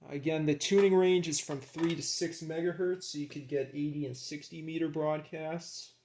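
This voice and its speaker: A man casually narrating as he works with his hands, in a creaky voice, absorbed and admiring, dropping murmured asides. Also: a little high, fairly even intonation, normal speed, darkish tone, a little hiss or hum. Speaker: hobbyist demonstrating a radio rebuild.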